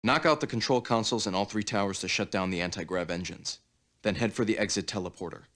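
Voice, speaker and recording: A man acting as a military mission briefer in a video game. His voice is notably low and he rattles off instructions quickly, in a brisk, businesslike way. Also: fairly bright tone, natural voice.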